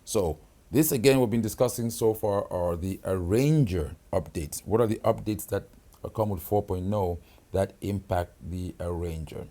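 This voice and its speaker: A man's voice: low-pitched, moderate pace, warm tone. A man giving a hands-on keyboard tutorial, explaining each feature step by step and checking in with the viewer often. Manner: explanatory, checks in with "okay?" and "right?". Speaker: product demonstrator.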